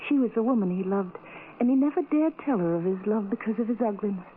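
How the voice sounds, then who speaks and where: A woman's voice: medium pitch, expressive, fast talker, close to an everyday voice. An actress playing a tender, adoring young blind woman in an old-time radio drama, speaking earnestly and romantically. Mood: eager. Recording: tinny, noisy old radio recording.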